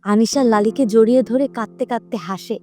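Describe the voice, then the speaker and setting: A woman reads a scripted story for young children in the role of storyteller. Her voice sits a little high. Music plays behind her narration. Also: brisk, warm tone.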